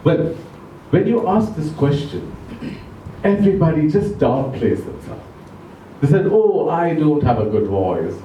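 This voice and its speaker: A man giving an educational talk to a club audience, speaking slowly and deliberately, asking them questions, English not his first language. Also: a little high, big pitch swings, mellow, distant mic, slight background noise. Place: club meeting room. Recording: echoey room recorded from afar.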